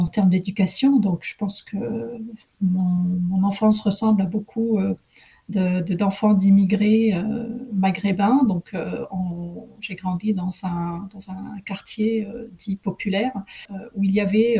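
A woman interview guest from northern France, speaking conversationally and haltingly, often repeating words as she goes. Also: medium pitch, creaky, dark tone, slightly rough, poor audio. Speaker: podcast guest, recruitment executive.

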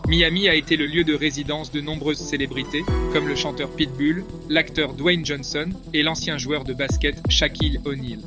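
A man reads a scripted fact segment in an even, smooth delivery. His voice is somewhat high for a man, and clear music runs underneath it. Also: moderate pace, hardly any pauses. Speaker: segment presenter.

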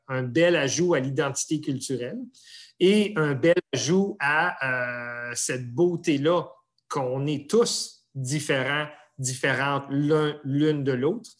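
A man from Canada with a Canadian French accent, answering interview questions in a measured, hesitant way with many pauses. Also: on the high side.

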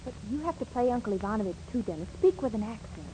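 A woman's voice: a little high, sing-song, fast, dark tone. A woman playing an eager, coaxing girlfriend in a theatrical 1940s radio comedy, on a noisy old broadcast. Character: eager girlfriend coaxing and correcting her nervous partner.

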